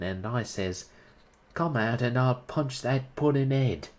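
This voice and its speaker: A man's voice: mid-range voice, a little mellow, voice pushed up. A man voicing a blunt young Cockney servant who drops his aitches. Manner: dropped aitches, rough working-class speech.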